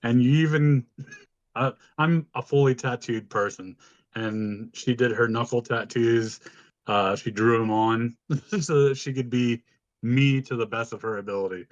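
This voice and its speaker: A man with a deep voice co-hosting a podcast, telling stories in an informal, casual way and swearing freely. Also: fairly even intonation, measured pace, dry recording, muffled recording. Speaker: podcast co-host. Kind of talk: casual chat, storytelling.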